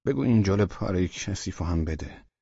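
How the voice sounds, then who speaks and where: A scheming American traveler plotting in a low, hushed, husky voice, as a male storyteller puts him on. The sound is dry and somewhat dull and lo-fi. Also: expressive, no echo, heavily acted. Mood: conspiratorial.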